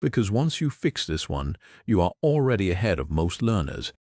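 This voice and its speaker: A man with a very low voice teaches an English lesson. His pitch rises and falls freely as he explains each point patiently and clearly, and the sound is close and dry with no room echo. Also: very animated, moderate pace, a little mellow. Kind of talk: scripted lesson to the camera. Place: in Europe.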